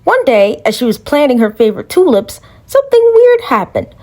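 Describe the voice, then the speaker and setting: A woman's voice: high-pitched, big pitch swings, brisk, warm tone. A woman narrating a fairy tale in a casual, chatty way with playful asides. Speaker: storyteller.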